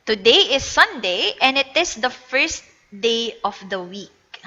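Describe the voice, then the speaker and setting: A woman's voice: on the high side, very animated, slow pace, pushed and bright, highs cut off. A woman with a Filipino accent giving a recorded lecture, explaining patiently and mixing English with Tagalog. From Philippines. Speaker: teacher. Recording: echoey reverb.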